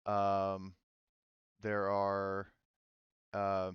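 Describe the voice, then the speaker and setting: Low, smooth-voiced man running a game. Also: completely flat delivery, no echo, lo-fi sound. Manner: halting, informal explaining with frequent "like". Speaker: game master. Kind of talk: casual tabletop game narration.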